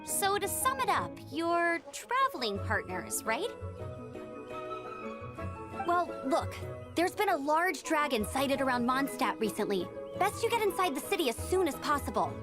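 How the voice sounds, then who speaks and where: A perky young female knight scout for a video game. She is earnest, dutiful and a little formal, with a very high, animated voice. Music plays clearly behind her. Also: measured pace. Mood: businesslike. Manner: earnest, chirpy, slightly formal and official. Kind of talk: English video game voice acting.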